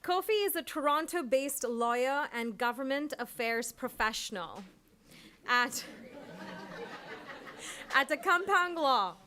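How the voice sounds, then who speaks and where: A woman emcee at an awards ceremony, reading each honoree's citation in a measured, formal way. Her voice sits a little high. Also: expressive, noisy background. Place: large event hall.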